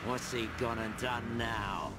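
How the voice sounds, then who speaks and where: Game villain: male actor as battle-hardened British older man, unhurried sneer, gruff taunts over loud music. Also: mid-range voice, fairly even intonation, natural voice. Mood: cocky, menacing. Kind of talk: video game cutscene acting. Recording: loud music under the dialogue.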